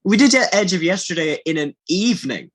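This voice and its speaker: A man's voice: high-pitched, expressive, brisk. A man chatting casually on a behind-the-scenes podcast, rambling effusively and often restarting his sentences. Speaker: audio drama lead actor.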